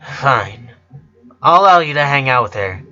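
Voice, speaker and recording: A man's voice: medium pitch, big pitch swings, unhurried, sharp tone, highs cut off, exaggerated voice. A man voicing a brash, teasing sister in a storybook, blunt and bossy.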